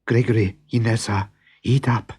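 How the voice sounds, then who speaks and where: A man's voice: medium pitch, fairly even intonation, moderate pace, darkish tone, husky. A man voicing a concerned, fussing wife and mother in a storybook, full of solicitous questions. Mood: hushed concern. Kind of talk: storybook character acting.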